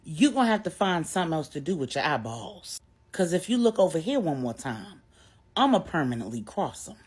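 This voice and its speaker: A woman's voice: deep voice, sing-song, brisk, a little mellow, husky, heavily acted. A woman storyteller voicing a combative, sassy woman who threatens her rivals in slangy, confrontational talk. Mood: mocking threat.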